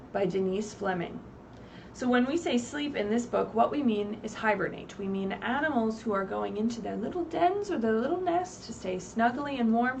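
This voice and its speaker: Animated, high-pitched woman reading to children. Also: vocal fry. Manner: expressive, asking young listeners questions. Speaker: children's story time librarian and presenter. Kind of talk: animated read-aloud with asides to young children. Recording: distant mic, faint background noise, livestream.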